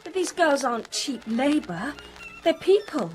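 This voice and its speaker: An actress playing a sensitive, curious young upper-class daughter in a radio drama, earnest and questioning. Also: female voice, sits high, very animated, measured pace, darkish tone. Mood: earnest, indignant. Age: young adult. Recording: music under the voice.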